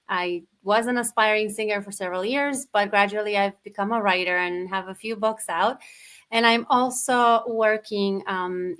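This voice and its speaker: A woman author from Romania, a guest in an interview, telling her story in an articulate, measured and reflective way. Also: medium pitch, fairly bright tone. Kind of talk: interview answers, personal storytelling.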